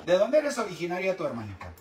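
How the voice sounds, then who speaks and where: A man's voice: high voice, huge pitch swings, fast talker, over music. A man with a Mexican accent hosting a call-in advice show, bantering in a brash, teasing, campy and bawdy manner. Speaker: call-in show host and esoteric advisor. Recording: roomy echo, distant mic, faint noise.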